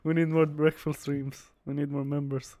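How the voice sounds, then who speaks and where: Man, twenties, podcast host, higher voice, laughs a lot. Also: little pitch movement, moderate pace, over a noisy crowd. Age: 20s. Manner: laughs often, swears casually. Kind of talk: casual group chat.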